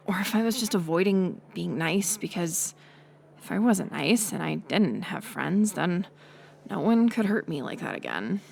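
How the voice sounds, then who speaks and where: A woman playing a bitter, hurt college-age former friend turned bully, confessing in a halting, intimate audio drama monologue. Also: lowish voice, a little hiss or hum. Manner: halting confessional delivery, drifting into breathy near-whispers.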